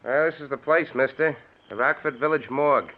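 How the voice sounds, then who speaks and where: An actor playing a plain-spoken small-town cab driver with a folksy, informal manner in an old-time radio mystery. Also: male voice, mid-range voice, fairly quick, natural voice. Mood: matter-of-fact. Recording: tinny, band-limited old radio recording.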